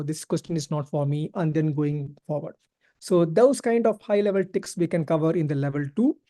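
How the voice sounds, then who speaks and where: A man with a dark, mellow voice gives tutoring advice. He explains informally and repeats key phrases for emphasis. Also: high voice. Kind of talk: informal explanatory online talk.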